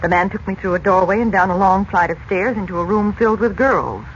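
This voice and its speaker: An actress playing a weary, genteel mother in an earnest, expressive 1940s radio serial style. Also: mid-range voice, brisk, natural voice. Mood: puzzled recounting. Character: Dorothy, weary genteel mother back from an ordeal. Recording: dull lo-fi radio recording with noise.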